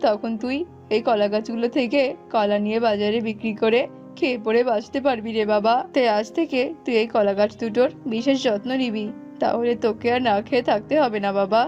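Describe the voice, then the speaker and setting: A woman's voice: fairly high pitch, steady tone, a little fast, a little mellow, natural voice. A woman voicing a poor, sorrowful, tender jackal father with a plaintive, exclamatory cartoon delivery. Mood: earnest. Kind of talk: cartoon voice acting. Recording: muffled, music underneath.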